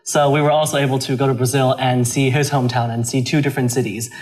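A man's voice: mid-range voice, little pitch movement, fast talker, a little mellow, rough recording. A young man from China, speaking as an interview guest in a thoughtful way with frequent fillers and restarts. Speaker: interview guest, a cappella singer. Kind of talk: casual interview talk.

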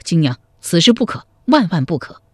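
A woman doing a steady young hero. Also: slightly rough, no echo, clean studio sound. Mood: protesting. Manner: firm, measured and low.

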